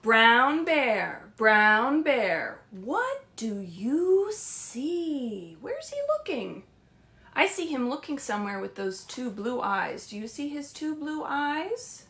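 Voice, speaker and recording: A woman children's librarian hosting a read-aloud story time, speaking gently and reassuringly in simple words meant for small children. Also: sits high, big pitch swings, slow. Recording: roomy, echoey livestream sound.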